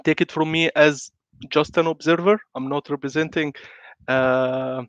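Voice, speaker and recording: A man's voice: a little high, steady tone, measured pace, a bit bright, no room sound. A man with a flu-congested voice, giving a webinar talk as a guest speaker, hesitant and full of fillers and restarts. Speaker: guest speaker on building information modelling. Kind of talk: online webinar presentation. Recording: dry, slightly muffled lo-fi audio.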